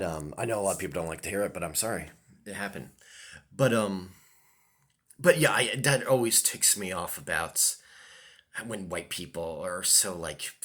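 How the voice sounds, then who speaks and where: A man's voice: sits low, a bit bright, slightly rough. A man, talking to the camera in a loose, rambling monologue, full of ums and restarts. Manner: hesitant, many ums and restarts. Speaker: vlogger.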